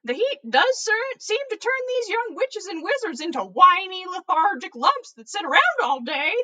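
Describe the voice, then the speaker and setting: A woman's voice: very high-pitched, very animated, normal speed, sharp tone, recorded from a distance, pitched above the performer's own voice, full character voice. A woman voicing a gleeful, eccentric old male wizard headmaster in a breezy, playful storybook read-aloud.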